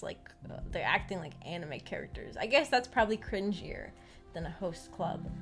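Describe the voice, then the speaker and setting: A woman streamer chatting casually, giggly and hesitant, rambling and repeating her words. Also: medium pitch. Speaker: VTuber streamer hosting a visual novel book club. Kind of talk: casual livestream chat. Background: background music.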